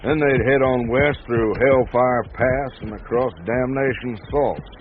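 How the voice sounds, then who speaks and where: An actor playing an easygoing, laconic ranch hand with a folksy drawl from the American West, in a radio drama. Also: male voice, medium pitch, fairly even intonation, measured pace, lightly acted. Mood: folksy storytelling. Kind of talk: naturalistic radio drama acting. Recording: tinny narrow-band radio sound, background noise.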